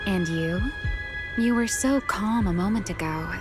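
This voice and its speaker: Woman voicing a young female game fighter, composed, teasing, theatrical, medium pitch, music behind. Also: unhurried, close to an everyday voice. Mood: teasing calm. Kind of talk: video game voice acting. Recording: music under the voice.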